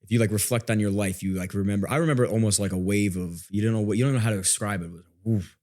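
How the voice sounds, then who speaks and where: A man with a fairly low voice talks at a quick clip. His delivery is informal and slangy, like someone thinking aloud in a casual podcast conversation. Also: steady tone, a little mellow.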